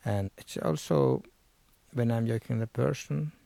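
A man with a Sami accent speaks very slowly in a low, gravelly voice. He is a musician explaining his craft, feeling his way through simple English in a dry, close recording. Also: big pitch swings, dark tone, dry recording. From Sápmi, northern Scandinavia. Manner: halting, searching for words in simple English. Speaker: Sami musician, joik singer.

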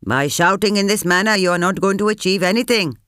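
A woman's voice: medium pitch, quick pace, fairly bright tone, smooth, no room sound, clean studio sound, pitched above the performer's own voice, close to an everyday voice. A woman narrator voicing an exasperated, flustered male police officer. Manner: defensive, flustered.

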